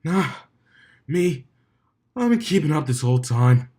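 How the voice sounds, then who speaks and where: A man's voice: fairly high pitch, sing-song, measured pace, dark tone, voice pushed up. A man voicing a defensive, struggling young male pegasus who brags and stammers in a story. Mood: defensive bravado. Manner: stammering, blustering.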